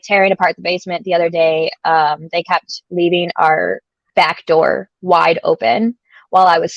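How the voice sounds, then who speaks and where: A woman's voice: lowish voice, creaky, no echo. Stuffy-nosed chatty woman on a dry, dull call. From central Illinois, US. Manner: chatty, laughs often, animated. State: stuffy, sniffly from allergies. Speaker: guest co-host on a crypto stream. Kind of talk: casual chat.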